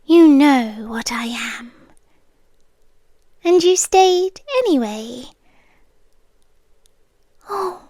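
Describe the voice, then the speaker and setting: A woman voicing a sweetly menacing hive queen in an ASMR roleplay, talking softly and drifting in and out of whispers. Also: high-pitched, no room sound, clean studio sound. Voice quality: soft, slow, drifting between whisper and voice. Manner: coaxing, intimate, quietly threatening.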